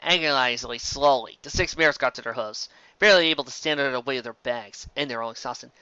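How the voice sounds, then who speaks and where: A man reading fan fiction aloud as an amateur narrator, expressive and occasionally stumbling over words. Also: mid-range voice, quick pace, clean tone, no echo, muffled recording.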